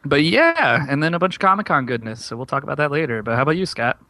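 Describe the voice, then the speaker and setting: A man's voice: fairly high pitch, very animated, quick pace, sharp tone, no echo. Tired, rambling podcast man. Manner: rambling, lots of fillers and restarts. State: tired from travel. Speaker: podcast co-host. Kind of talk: casual chat.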